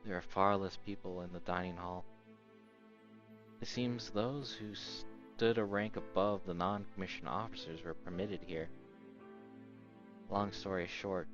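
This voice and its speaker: A man's voice: low voice, highs cut off. A man reading a visual novel aloud as a let's-play host, narrating with casual asides and occasional stumbles. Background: game background music.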